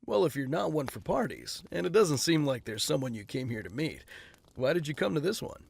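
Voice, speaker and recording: An actor playing a flippant, self-mocking rich playboy in an audio drama. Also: male voice, a little high, very animated, a little fast, creaky, breathy edge, no echo, full character voice. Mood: breezy. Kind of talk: fan audio drama acting.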